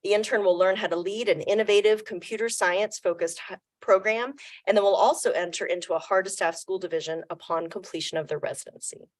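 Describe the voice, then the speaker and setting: A woman reading prepared remarks in a measured formal presentation to a committee, in a meeting room. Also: medium pitch. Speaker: program presenter.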